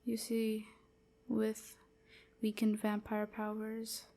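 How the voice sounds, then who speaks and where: A woman playing a melancholy enslaved vampire in an intimate audio roleplay, gentle and confiding, with a breathy, often whispered voice. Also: medium pitch, monotone. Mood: haunted. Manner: slow, hesitant, softly confiding.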